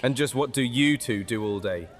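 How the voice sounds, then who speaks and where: A man playing a gentle, soft-spoken vampire companion, reassuring and slyly witty, in a horror audio drama. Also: medium pitch, normal speed, lightly acted. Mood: wry. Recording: reverberant hall with faint music.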